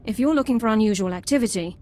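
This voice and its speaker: A woman's voice: on the high side, rapid-fire, darkish tone, slight background noise, natural voice. A woman playing a strict by-the-book sergeant. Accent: Imperial-sounding. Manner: formal, precise, clipped military reports. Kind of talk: video game cutscene voice acting. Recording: game audio with music bed.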